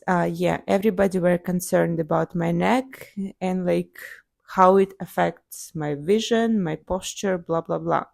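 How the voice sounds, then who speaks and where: A woman's voice: lowish voice, vocal fry, darkish tone, no echo. A young adult woman with a Russian accent, telling her personal story in a casual video diary, full of fillers. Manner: conversational, many fillers like "like" and "um". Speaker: vlogger, psychologist.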